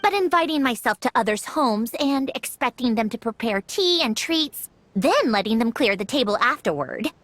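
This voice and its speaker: A woman's voice: fairly high pitch, expressive, moderate pace, few pauses, a bit bright. A woman playing a proud, refined young lady inventor in an anime video game, speaking in a prim, self-assured and articulate way. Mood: prim, gently haughty.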